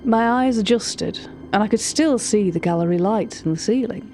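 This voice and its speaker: A woman's voice: medium pitch, normal speed, dark tone, a little hiss or hum, natural voice. A woman playing an anxious, wordy narrator confiding strange events in an audio drama monologue. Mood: awed, unsettled. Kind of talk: audio drama monologue, recorded-tape account.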